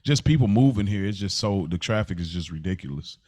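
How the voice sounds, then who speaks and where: A man's voice: deep voice, animated, a little fast, a little mellow, no room sound. A young adult man co-hosting a podcast, chatting casually in colloquial slang and often saying "like". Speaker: podcast co-host. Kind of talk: casual chat.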